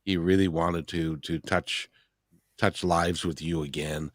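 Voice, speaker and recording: A man's voice: deep voice, steady tone, unhurried, dry recording, clean studio sound. A man hosting an interview, speaking in an earnest, firm and reassuring way. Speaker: interview host. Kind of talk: casual interview chat.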